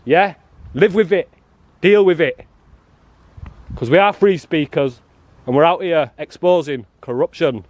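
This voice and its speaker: A man filming outside a prison, talking loudly and bluntly to the officers and to his viewers. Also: a little high, measured pace, pauses often, no room sound. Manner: loud, blunt and challenging, calls people "love" and "mate". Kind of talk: confrontational street filming with commentary to viewers.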